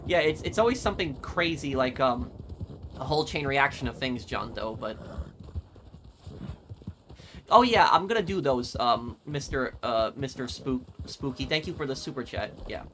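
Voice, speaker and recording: Casual American male gamer host, mid-range voice, plainspoken answers, game audio clearly audible underneath. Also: medium pitch, moderate pace, noisy background. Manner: relaxed, matter-of-fact answers. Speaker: gaming channel host. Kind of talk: casual gameplay commentary, reading viewer comments.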